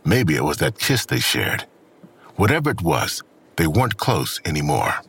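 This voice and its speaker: A man narrating a scripted crime audio drama as a brooding storyteller, with a gravelly, husky voice. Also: low-pitched, very animated, moderate pace, a bit bright, exaggerated voice.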